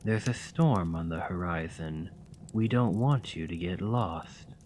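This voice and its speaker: A man voicing caring parents gently warning their child in a storybook tale. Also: low-pitched, a little slow, a little hiss or hum. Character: worried caring parents of a boy.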